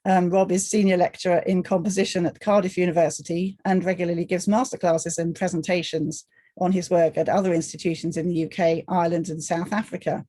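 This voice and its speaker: A woman chairs a roundtable and reads a formal introduction from a written bio. Her voice is mellow and low-pitched. The sound is close and dry. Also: flat delivery, brisk, dry recording.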